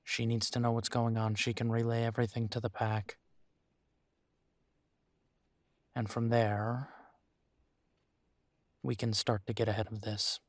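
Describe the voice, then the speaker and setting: Man voicing male werewolf, mid-pitched, close dry sound, weary, conflicted, halting exasperated delivery, intimate roleplay audio drama. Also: little pitch movement, dry recording, close to an everyday voice. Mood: resigned, decided. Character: weary, conflicted male werewolf arguing tenderly with his mate.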